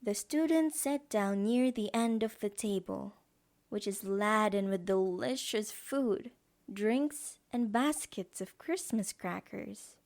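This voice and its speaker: A woman's voice: medium pitch, takes it slowly, a little mellow. A woman reading scripted narration as the narrator of an audio drama.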